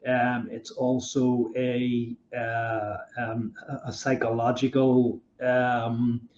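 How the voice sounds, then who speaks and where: A man's voice: mid-range voice, completely flat delivery, slow pace, darkish tone, slight background noise. A man hosting a podcast interview, talking with enthusiasm and rambling through his questions with many fillers. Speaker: podcast host. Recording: dull roomy sound.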